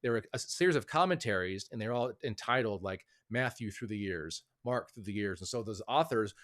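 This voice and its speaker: A man's voice: lowish voice, expressive, a little fast, fairly bright tone. A man as a podcast guest, explaining his views in a hedging, thoughtful way with many fillers. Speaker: author and guest. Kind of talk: casual interview talk.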